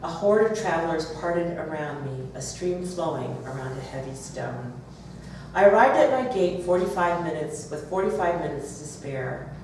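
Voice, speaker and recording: Woman author, low voice, live reading event, distant from the mic, noisy background. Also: moderate pace, a little mellow, heavy reverb. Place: bookstore, audience event.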